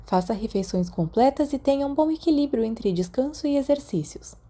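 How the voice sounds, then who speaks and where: Storybook role: caring Brazilian matron nurse, voiced by a woman narrator, gentle, attentive, raised mellow pitch. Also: animated, brisk. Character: kindly, caring female school nurse.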